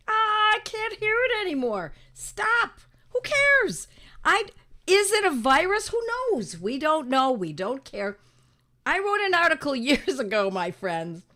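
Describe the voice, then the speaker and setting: Woman, raspy, high-pitched, very animated, emphatic monologue. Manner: animated, emphatic, repeats words for effect. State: raspy from draining sinuses. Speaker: homeopathy educator. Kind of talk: casual monologue.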